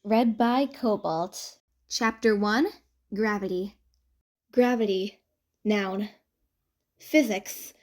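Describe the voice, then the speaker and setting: A woman reading fan fiction as an audiobook narrator, expressive and conversational with playful emphasis. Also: mid-range voice, slow pace, pauses often. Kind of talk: expressive scripted story narration.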